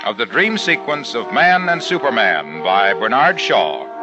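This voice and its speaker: A man reading a 1950s radio commercial in a brisk, emphatic pitchman's delivery. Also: very animated. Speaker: radio sponsor announcer. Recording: dull old radio broadcast with faint music.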